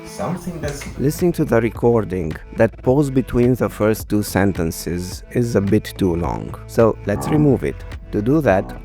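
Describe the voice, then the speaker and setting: Measured male explainer voice over music. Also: lowish voice, vocal fry, mellow. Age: 30s to 40s. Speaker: video presenter. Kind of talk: scripted explainer narration.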